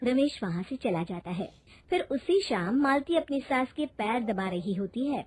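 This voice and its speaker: A woman's voice: fairly high pitch, lively intonation, normal speed. A woman narrating a scripted moral story as a storyteller.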